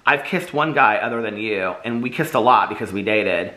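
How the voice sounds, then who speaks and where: A man reading as a gently earnest teenage boy. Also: fairly low pitch, moderate pace, voice pushed down, rough recording. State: slightly losing his voice.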